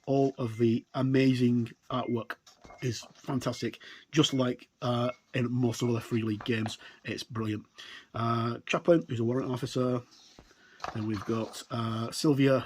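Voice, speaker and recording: A man giving a casual, unscripted review as he leafs through a product, talking in halting, rambling short phrases. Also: mid-range voice, fairly even intonation, a little mellow. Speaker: tabletop game reviewer. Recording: clear background noise with clicks.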